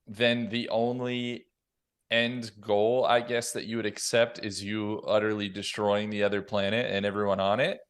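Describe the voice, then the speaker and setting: A man's voice: lowish voice, level tone, moderate pace, smooth. A man talking through a story in an informal, reflective way on a casual podcast roundtable. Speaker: podcast panelist.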